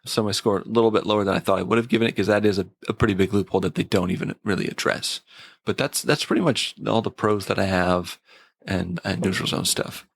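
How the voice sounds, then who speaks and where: A man giving a casual episode review in a thoughtful, slightly halting manner, often repeating a word as he goes. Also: deep voice, steady tone, vocal fry, no room sound.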